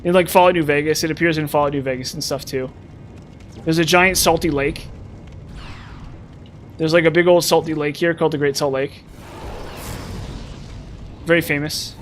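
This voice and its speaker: A man's voice: on the high side, steady tone, moderate pace, pauses often, slight background noise. A man in his thirties casually chatting and rambling to his viewers while streaming a game. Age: 30s. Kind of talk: casual live-stream chat while playing.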